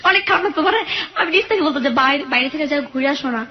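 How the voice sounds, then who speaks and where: A woman's voice: sits high, expressive, very fast. A young woman calling in to tell her life story in a rambling way, acting out what people said, heard through dull lo-fi broadcast audio. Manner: rambling, acts out quoted speech. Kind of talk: casual personal storytelling. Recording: dull lo-fi phone or radio audio.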